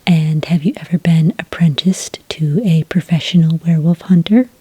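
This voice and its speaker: A woman playing a friendly, patient licensing clerk in a soft, breathy ASMR roleplay, speaking gently and politely close to the microphone. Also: sits low, a little hiss or hum, natural voice, poor audio. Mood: calm, polite. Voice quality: breathy, soft, near-whisper. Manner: gentle, unhurried, politely official. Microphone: close, dry microphone.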